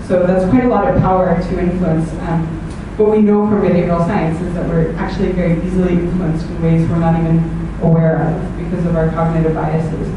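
A woman's voice: on the low side, flat delivery, a little fast, hardly any pauses. A woman giving an academic talk and answering questions, with a hesitant manner and many ums. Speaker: speaker. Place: meetup venue hall. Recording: echoey hall, distant mic, noisy.

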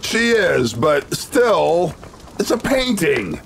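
Gravelly, cocky American action hero. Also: male voice, on the high side, very slow, a little hiss or hum, heavily acted. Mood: disappointed, joking. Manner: deadpan, swaggering quips. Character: cocky, wisecracking American action-hero soldier, adult man. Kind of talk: video game cutscene acting.

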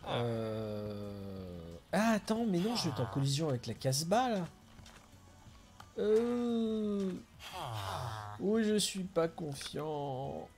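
A man's voice: a little high, big pitch swings, takes it slowly, pauses often, music in the background. A middle-aged man chatting casually as he streams a building game, thinking aloud and chuckling often. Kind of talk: casual gameplay commentary.

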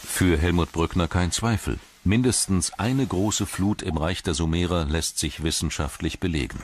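A man reads scripted documentary narration as a narrator, in a measured storytelling delivery. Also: low-pitched, a little hiss or hum. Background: prominent background music.

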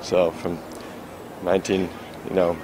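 An elderly man in his eighties, talking casually and haltingly with many fillers and chuckles, interviewed at an outdoor city marina on a handheld mic. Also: low voice, completely flat delivery, dark tone, a little hiss or hum. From Florida. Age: 80s. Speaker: sailing school owner. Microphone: handheld microphone held by interviewer.

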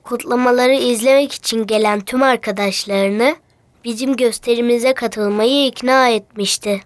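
A woman voicing an earnest, excitable young schoolboy hero in a children's cartoon, speaking with clear, storytelling delivery. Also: high voice. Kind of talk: children's cartoon dialogue and narration. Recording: music bed behind the voice.

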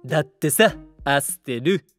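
An actor, a man, playing a brash, laid-back high school brawler in an audio drama, with rough casual speech. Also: lively intonation, measured pace, fairly bright tone, soft background music.

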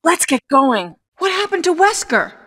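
A woman's voice: extremely high pitch, sing-song, normal speed, pushed and bright, dry recording, clean studio sound, full character voice. An actress playing an earnest, capable young policewoman in a stilted nineties video game. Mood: firm.

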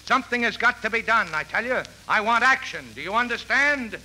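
A man's voice: very high-pitched, expressive, brisk, exaggerated voice. A man playing a bossy, impatient police detective captain in a 1940s radio comedy. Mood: impatient, demanding. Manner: forceful, commanding. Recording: noisy old 1940s radio recording.

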